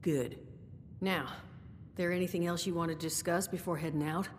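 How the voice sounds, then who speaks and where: An actress playing a world-weary, guarded bar owner in a video game, with a dry, worldly way of talking. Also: female voice, steady tone, normal speed, natural voice. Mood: wry, businesslike. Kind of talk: video game dialogue acting. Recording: reverberant game audio with rumbling noise.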